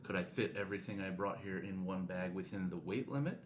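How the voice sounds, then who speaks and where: A man's voice: low-pitched, fairly quick, slight background noise. A man chatting casually as a podcast co-host.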